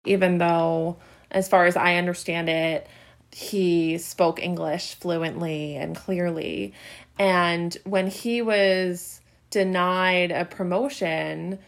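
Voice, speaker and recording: A woman who is an employment attorney, being interviewed and speaking carefully and deliberately. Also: fairly low pitch, fairly even intonation, creaky.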